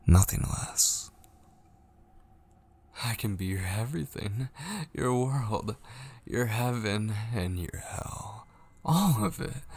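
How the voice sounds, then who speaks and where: A man playing an obsessive, possessive kidnapper and coaxing lover in an intimate, whispery ASMR roleplay, husky and close to the mic. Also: lowish voice, darkish tone, heavily acted. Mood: menacing, devoted, then obsessive pleading. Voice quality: husky, breathy, often dropping into whispers. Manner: slow, coaxing, possessive endearments. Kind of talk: intimate ASMR roleplay, scripted dramatic monologue. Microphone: close studio mic, dry.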